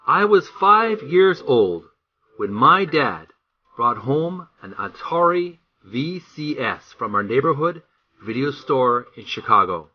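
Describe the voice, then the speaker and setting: A man reading a book introduction aloud as narration, with deliberate, emphatic phrasing. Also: medium pitch, sing-song, husky, highs cut off.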